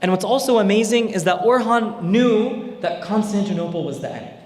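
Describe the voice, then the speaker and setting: A man's voice: sits high, lively intonation, moderate pace, a little mellow. Young man lecturing in an echoey hall. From Bay Area, United States. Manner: conversational, many fillers and tag questions. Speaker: Islamic scholar lecturing. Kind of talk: informal history lecture. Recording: echoey hall with long reverb.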